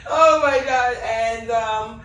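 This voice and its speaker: A woman's voice: a little high, bright, forceful tone. A woman in her twenties from Ivory Coast and Benin, a native French speaker, chatting as a guest and giggling often. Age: 20s. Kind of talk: casual chat. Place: indoor room with a sofa. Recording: echoey room, distant mic, faint hiss.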